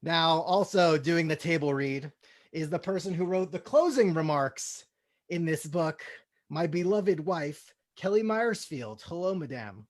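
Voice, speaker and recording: Male comedy host, high voice, casual delivery, deadpan script reading. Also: a little slow.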